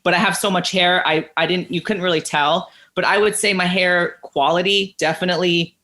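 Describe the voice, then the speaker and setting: A candid woman guest with a naturally low voice talks in loose, rambling bursts, full of likes and restarts. Her tone is bright and forward, and she comes through a home video-call mic. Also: steady tone, normal speed. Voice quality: naturally deeper voice. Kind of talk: candid casual interview. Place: living room at home.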